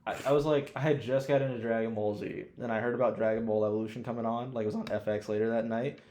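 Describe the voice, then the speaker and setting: A man's voice: mid-range voice, steady tone, fast, darkish tone. A young man co-hosting a podcast, chatting casually and giving opinions in emphatic, profane slang. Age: young adult. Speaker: podcast co-host. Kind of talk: casual chat, opinionated film ranking.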